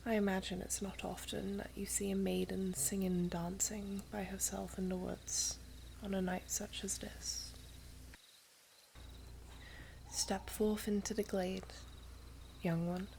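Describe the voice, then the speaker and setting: Woman doing an elf maiden for asmr roleplay, ageless n wistful, really slow soft whispery talk w some fry, kinda teasing, old-timey wording. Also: mid-range voice, flat delivery, slight background noise. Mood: teasing, curious. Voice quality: soft, breathy, half-whispered. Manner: archaic, unhurried, gently condescending.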